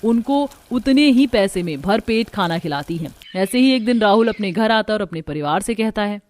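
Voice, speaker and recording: A woman's voice: medium pitch, lively intonation, moderate pace, darkish tone. A female narrator reads a scripted cartoon family story. Her voice sits in a middle register.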